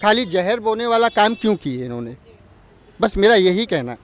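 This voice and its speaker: A man's voice: high-pitched, sing-song, normal speed. A man giving an emphatic, argumentative opinion in a street interview, pressing points with rhetorical questions. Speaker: local community representative interviewed on the street. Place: outdoors on an urban street. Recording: outdoor street noise with traffic.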